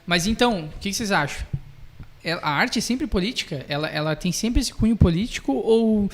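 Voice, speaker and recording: A man's voice: high-pitched, lively intonation, brisk. A man hosting a casual podcast discussion, informal and putting questions to the others. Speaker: podcast host.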